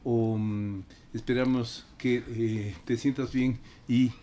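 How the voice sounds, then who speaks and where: Halting male radio host, noisy recording. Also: medium pitch, level tone, mellow. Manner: hesitant, halting, courteous. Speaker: radio program host and interviewer. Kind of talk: academic radio interview.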